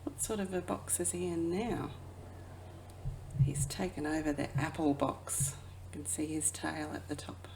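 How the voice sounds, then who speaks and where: A woman who works as a children's educator reads a picture book aloud expressively, drawing out phrases and dropping into hushed asides. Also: deep voice, a little slow, creaky, background noise. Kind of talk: children's storybook read-aloud.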